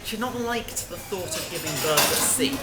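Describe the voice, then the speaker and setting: A woman's voice: on the low side, lively intonation, moderate pace, very noisy. A woman reading a scripted story aloud as a narrator, with music behind her. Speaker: reader.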